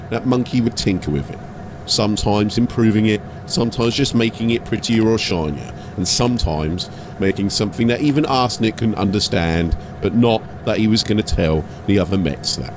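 A man's voice: lowish voice, background noise. A man giving a scripted, dramatic narration as an audiobook storyteller.

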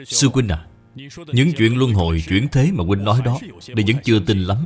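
A man's voice: on the low side, expressive, moderate pace, faint music behind, close to an everyday voice. A man voicing an earnest, conscientious Buddhist businessman in a moral cartoon, with a polite and pleading manner. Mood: doubtful. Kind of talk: dubbed moral cartoon dialogue.

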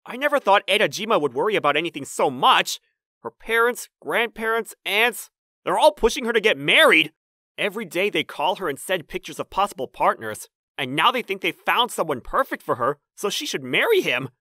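A woman's voice: medium pitch, big pitch swings, fairly quick, bright, forceful tone, no room sound, clean studio sound. A woman voicing a timid, easily flustered man in his late twenties in a manga dub, narrating and speaking self-deprecatingly. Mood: earnest narration. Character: flustered, timid twenty-nine-year-old male office worker, narrating his life.

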